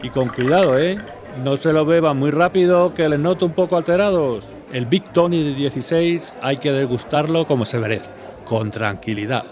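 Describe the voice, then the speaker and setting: An actor playing a worldly café bartender in an audio drama, unhurried, chatty, familiar and knowing. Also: male voice, fairly high pitch, music in the background, natural voice. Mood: jovial, teasing.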